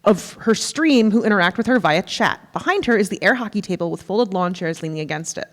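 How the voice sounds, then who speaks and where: A woman's voice: medium pitch, animated, a little fast. A woman reading stage directions as scripted narration at a live staged play reading. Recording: echoey theatre hall, live stage.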